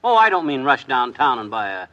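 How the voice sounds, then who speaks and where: An actor playing a folksy, talkative middle-aged husband in a 1950s radio comedy. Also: male voice, medium pitch, very animated, pushed and bright, dull audio. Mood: breezy. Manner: quick, bouncy, jovial delivery.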